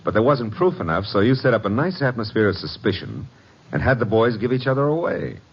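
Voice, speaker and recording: A cocky American gumshoe from old-time radio, with a low, husky voice that swings in lively fashion. His delivery is breezy and flippant. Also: brisk, full character voice. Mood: amused. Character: wisecracking, cocky American private detective's legman. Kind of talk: 1940s-50s radio detective acting. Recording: dull old radio recording.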